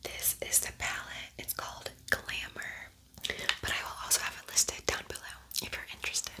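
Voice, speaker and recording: A woman speaking in a breathy whisper, chatting informally and softly as she hosts an ASMR makeup tutorial. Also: normal speed. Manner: soft-spoken, informal, chatty. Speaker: beauty ASMR video creator.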